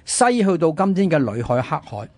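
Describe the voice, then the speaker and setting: A man with a Hong Kong Cantonese accent telling history conversationally as a radio host, animated and fond of rhetorical questions. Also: a little high, moderate pace, no room sound. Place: radio studio.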